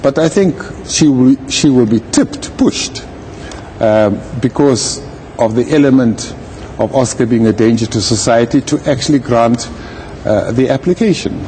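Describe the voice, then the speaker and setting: A man speaking as a legal commentator in an interview, with a measured, deliberate manner and formal wording. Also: mid-range voice, warm tone, slight background noise, poor audio. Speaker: legal commentator, retired judge. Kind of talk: live interview commentary on a court case.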